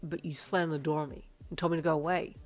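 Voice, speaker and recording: A woman's voice: deep voice, flat delivery, fast, soft background music, natural voice. A woman voicing a young man who teases his partner, then tries to placate her in confusion. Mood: bewildered. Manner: laughing, placating, bewildered.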